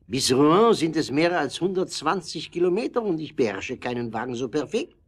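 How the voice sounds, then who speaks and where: Animated, husky businessman. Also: on the high side, moderate pace. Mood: alarmed, reluctant. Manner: animated, exclaiming, interjecting French words. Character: excitable French businessman, Benz's partner Roger. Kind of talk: German audio drama acting.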